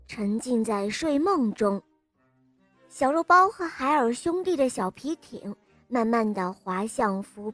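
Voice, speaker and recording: A woman reading a scripted storybook tale for young children, expressively and addressed to little listeners. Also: high voice. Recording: music under the voice. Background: music.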